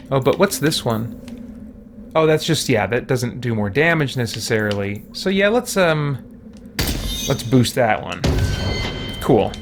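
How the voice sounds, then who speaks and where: A man giving casual let's-play commentary as a streamer, rambling and thinking out loud as he goes. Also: medium pitch, noisy background. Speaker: livestream gamer and host.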